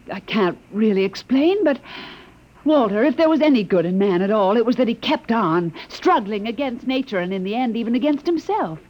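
An actress playing an earnest, defiant woman survivor in an old radio drama, urgent and pleading in manner. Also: on the high side. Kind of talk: 1950s radio drama acting. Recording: muffled vintage radio recording.

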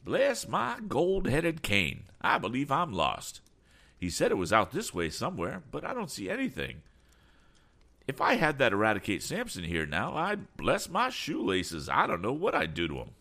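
Fussy excitable old gent for a storybook, high fluttery voice, swooping up and down, nervous chatter. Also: male voice, moderate pace, vocal fry, full character voice. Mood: flustered. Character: excitable, fussy, eccentric older gentleman with quaint exclamations.

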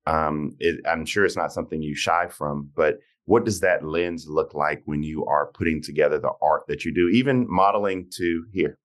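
A man's voice: a bass voice, moderate pace, few pauses. A man hosting a podcast interview, asking long, rambling questions full of fillers. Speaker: podcast host.

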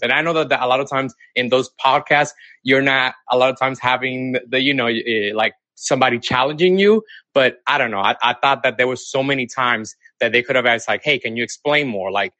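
Dominican guy calling in, bright voice, lots of likes and you knows, dry sound. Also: mid-range voice, dry recording. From Dominican Republic. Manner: hesitant, many fillers and restarts. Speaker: caller. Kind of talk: casual call-in talk.

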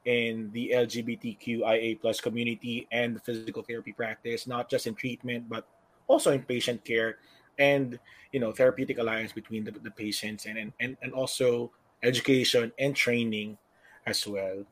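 A man's voice: medium pitch, little pitch movement, normal speed, low-quality recording. A man with a Filipino accent who moderates a panel discussion, speaking hesitantly with many fillers. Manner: hesitant, with many fillers, switching between English and Tagalog.

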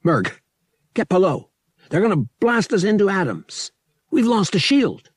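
A man voicing a brash young starship pilot in an audiobook, excitable and colloquial. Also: high voice, measured pace, husky, no room sound, clean studio sound, voice pushed up, full character voice. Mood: urgent, alarmed. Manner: urgent, colloquial, exclamatory. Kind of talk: audiobook character voice.

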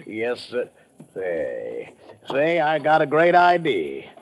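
Boisterous, folksy American tourist, swooping voice. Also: male voice, medium pitch, wildly swooping pitch, slow pace, vocal fry, slight background noise, full character voice. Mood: jovial. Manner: folksy, effusive, repeats himself. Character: boisterous, back-slapping American tourist, eager and naive. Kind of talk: old-time radio drama acting.

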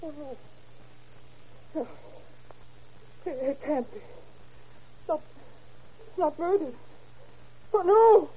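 Shaken lady witness, old radio crime show, soft dark tone, muffled noisy recording. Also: high-pitched, expressive, full character voice. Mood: shocked. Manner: halting, faltering, repeating herself. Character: shocked, distraught woman witness to a murder. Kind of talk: old-time radio crime drama acting.

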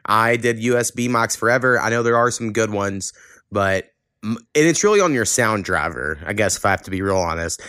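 A man's voice: lowish voice, animated, fairly quick, fairly bright tone, clean tone, dry recording, clean studio sound. A man hosting a podcast, chatting casually in a blunt, offhandedly profane way. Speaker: podcast host.

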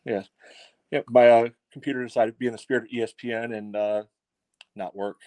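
A man's voice: medium pitch, steady tone, brisk, mellow, dry recording. A man co-hosting a soccer fan podcast, chatting casually in a rambling way with many "you knows". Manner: rambling, peppered with "you know". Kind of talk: casual sports talk.

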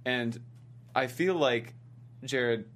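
A man's voice: medium pitch, extremely slow, fairly bright tone. Playful punning man on a panel. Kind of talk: casual panel chat.

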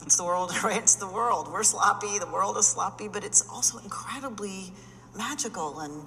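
A woman's voice: mid-range voice, normal speed, bright, forceful tone, in a large hall, a little hiss or hum, low-quality recording. A woman in her fifties, a rabbi, speaking emphatically and searching for words in a panel discussion. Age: 50s.

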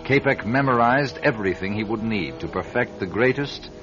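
A man's voice: on the low side, expressive, moderate pace. Grave male radio drama narrator. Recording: dull lo-fi radio recording with music.

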